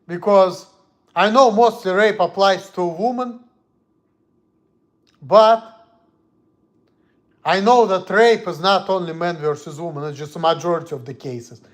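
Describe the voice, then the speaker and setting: A man's voice: high voice, unhurried, long pauses. A man from Russia with a Russian accent, talking bluntly and heatedly on a casual livestream in broken English. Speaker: streamer.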